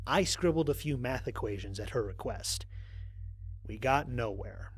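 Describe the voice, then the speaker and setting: A man's voice: medium pitch, a little mellow, dry recording, poor audio. A man playing a wry, analytical stranded space explorer narrating his mission log in an audio drama in a matter-of-fact way. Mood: mildly frustrated.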